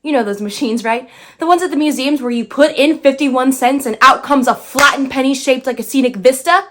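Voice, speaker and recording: A woman's voice: high-pitched, fairly quick, close to an everyday voice. A young woman performing a bitter, brash dead girl in a theatrical monologue, sardonic and emphatic. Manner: sardonic, emphatic, swinging into outbursts.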